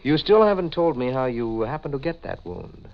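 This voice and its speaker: An actor playing a calm, probing town doctor, speaking firmly and with measure in a 1940s radio mystery. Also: male voice, mid-range voice. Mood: calm, suspicious. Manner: measured, firm. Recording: muffled, noisy 1940s radio recording.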